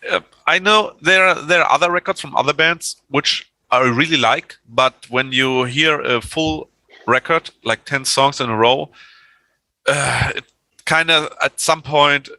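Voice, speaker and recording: A man's voice: fairly high pitch, unhurried, bright, forceful tone, no room sound. A man from Germany, a native German speaker, chatting casually as a band musician being interviewed on a podcast.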